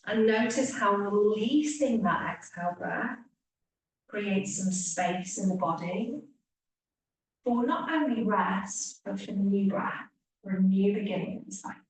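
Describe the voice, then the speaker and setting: A woman teacher leading a guided relaxation meditation, speaking gently with long pauses and hushed phrase endings. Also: mid-range voice, expressive. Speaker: yoga nidra teacher. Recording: echoey room, distant mic, faint hiss.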